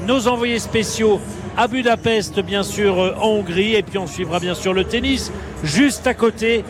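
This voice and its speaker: A man presenting live radio news in a relaxed, conversational way. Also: high-pitched, unhurried, a crowd in the background.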